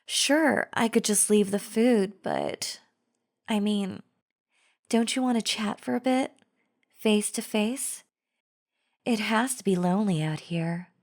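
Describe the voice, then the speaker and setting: A woman's voice: mid-range voice, slow pace, long pauses. A woman playing a chatty female zombie in an audio roleplay, casually coaxing the listener with false friendliness and a sly, wheedling drawl. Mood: wheedling, pushy. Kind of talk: scripted audio roleplay acting, addressing the listener.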